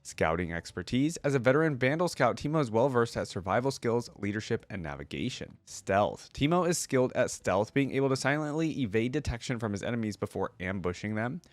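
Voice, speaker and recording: A man's voice: mid-range voice, big pitch swings, fairly quick, hardly any pauses, no room sound. Casual man reacting, in a dry recording. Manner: chatty, thinking aloud with asides to viewers. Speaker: reaction video host. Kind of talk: casual reaction commentary, reading trivia aloud.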